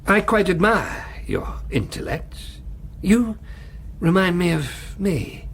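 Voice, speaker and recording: An actor playing a coldly authoritarian, urbane and cynical world ruler in a radio drama, with a measured, condescending delivery. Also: male voice, high voice, big pitch swings, slight background noise, full character voice. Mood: commanding, menacing. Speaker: controller of a dystopian society.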